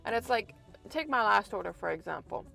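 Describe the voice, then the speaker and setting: Raspy, congested woman vlogger venting. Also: mid-range voice, animated, a little fast, pushed and bright, soft background music, poor audio. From Canada. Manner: frank, exasperated ranting with many restarts. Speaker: food delivery driver and vlogger. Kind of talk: casual vlog talk to camera.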